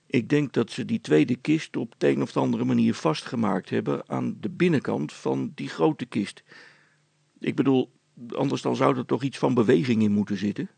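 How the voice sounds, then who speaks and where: A down-to-earth handyman from a storybook, voiced by a male reader, with a very creaky, husky voice. He is polite, practical and plainly reassuring. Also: mid-range voice, moderate pace, mellow, no room sound. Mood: thoughtful. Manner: matter-of-fact, reassuring. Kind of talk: children's storybook character acting.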